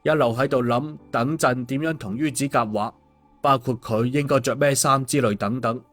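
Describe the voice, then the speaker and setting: A male audiobook storyteller, narrating a scripted tale at an ordinary middle pitch. Soft music plays behind his voice. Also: steady tone, moderate pace. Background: background music.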